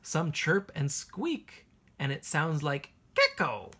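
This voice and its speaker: A woman teacher reading a children's picture book aloud in an expressive, sing-song way, with a husky voice. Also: low voice, takes it slowly.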